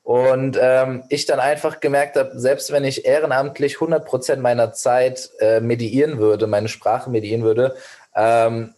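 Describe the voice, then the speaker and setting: Young founder, earnest and rambling. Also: male voice, medium pitch, warm tone. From Germany. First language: Persian. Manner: earnest, long winding answers with fillers and restarts. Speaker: startup founder, guest. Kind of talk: podcast interview.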